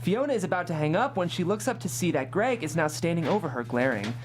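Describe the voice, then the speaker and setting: A woman with a low voice narrates the stage directions of a comedy script at a table read. A faint hum and some noise sit behind her. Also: animated, quick pace.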